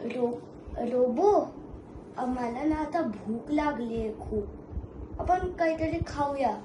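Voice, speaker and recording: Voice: high voice, takes it slowly. A child performing an amateur theatrical monologue, addressing an audience as a play competitor. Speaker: competitor in a one-act play contest. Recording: echoey room with faint noise.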